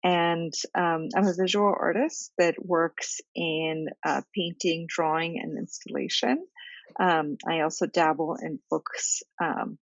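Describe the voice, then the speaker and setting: A woman in her thirties from Bulgaria, an artist answering interview questions thoughtfully with many pauses and fillers. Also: on the low side, fairly even intonation, no room sound, muffled recording. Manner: thoughtful, many ums and restarts. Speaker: visual artist and art instructor.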